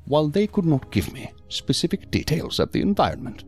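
An actor playing a calm, evasive male psychiatrist in an audio drama, speaking in a measured, formal, unctuous manner. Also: fairly high pitch, soft background music. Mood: evasive, controlling.

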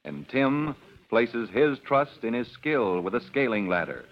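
A man's voice: mid-range voice, big pitch swings, measured pace. A man announcing a children's radio drama, narrating dramatically and addressing young listeners. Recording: dull lo-fi old radio recording.

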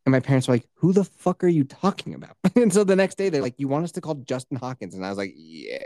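A man co-hosting a podcast, talking in casual, profane comedy banter with a joking, mock-boastful and animated manner. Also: mid-range voice, quick pace, no room sound, clean studio sound. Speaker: podcast co-host.

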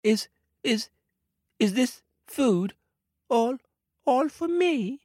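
A man's voice: a little high, lively intonation, normal speed, no room sound, studio quality, voice pushed up, natural voice. A man voicing a small, hungry baby male crocodile in a childlike, stammering way in a spoken storybook. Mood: amazed.

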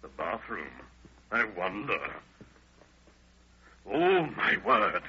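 An old radio drama recording, dull and husky-edged, of a befuddled gentleman. He is fussy and courteous to a fault, with a forceful, sharp low voice. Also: sing-song, slow, full character voice. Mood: bewildered. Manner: fussy, old-fashioned British-style upper-class exclamations. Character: befuddled, courteous gentleman caught in his robe.

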